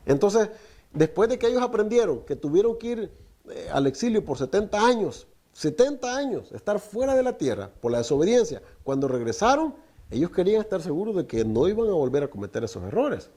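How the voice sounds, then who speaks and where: Male preacher, middle-aged, native Spanish speaker, earnest teaching tone, mellow timbre. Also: high-pitched, sing-song, brisk. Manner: preaching with rhetorical questions, often addresses listeners as brother. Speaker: teacher of a Torah portion. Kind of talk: Spanish-language Torah teaching.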